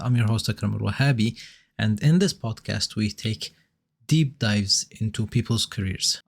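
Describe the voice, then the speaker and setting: A man opens his podcast with a scripted intro, brisk and upbeat. He poses rhetorical questions to the listener. His voice sits at a middling pitch. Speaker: podcast host.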